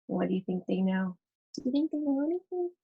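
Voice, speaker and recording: A woman teacher reading a picture book aloud to children, expressive and speaking directly to her young listeners. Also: medium pitch, brisk, husky, narrow, tinny audio. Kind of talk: children's read-aloud with chatty asides.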